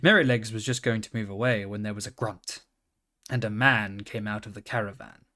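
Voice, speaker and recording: A man's voice: on the low side, brisk, pushed and bright. A man narrating a children's story from a script as a storybook reader.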